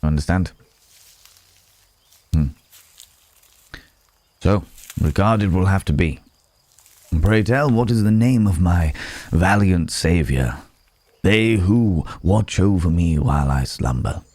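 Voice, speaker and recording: A man's voice: deep voice, expressive, slow pace, pauses often, a little mellow, no echo, exaggerated voice. A man playing a courteous, guarded werewolf from the city in an intimate ASMR roleplay, speaking in hesitant, formal, polite phrases.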